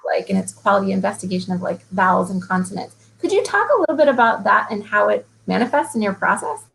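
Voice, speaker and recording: Female interviewer, mid pitch, some vocal fry, thoughtful, admiring, riffs as she asks. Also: fast talker, a little hiss or hum, rough recording. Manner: thoughtful, riffing, warmly admiring. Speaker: interviewer and fellow poet. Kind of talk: conversational interview.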